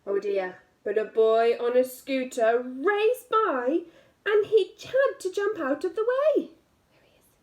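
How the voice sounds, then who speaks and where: Lady teacher reading a kids book, high voice, slow pace, really drags out the important words. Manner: expressive, drawn-out emphasis on key words. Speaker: primary school teacher reading aloud. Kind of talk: animated children's picture-book reading with asides to listeners.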